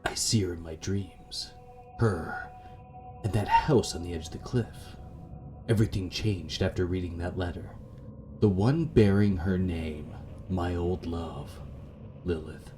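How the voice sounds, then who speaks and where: A brooding man haunted by lost love, voiced by a man reading a visual novel, speaks low and hushed. He is guilt-ridden and somber, slow in his gothic horror delivery, with music underneath.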